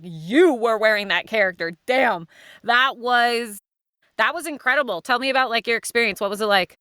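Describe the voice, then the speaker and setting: A woman's voice: medium pitch, pushed and bright, smooth, no echo, studio quality. A woman hosting a casual panel chat in an effusive, informal way. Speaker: host of a cast discussion.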